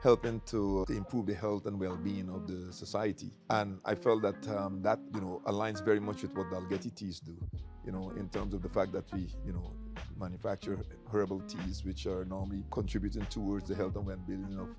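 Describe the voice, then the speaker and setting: Deep-voiced man with soft music behind. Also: level tone, fairly quick. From Guyana. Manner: repeats words often, many fillers. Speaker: tea company founder hosting the conversation. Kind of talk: casual conversational interview. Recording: light music in the background.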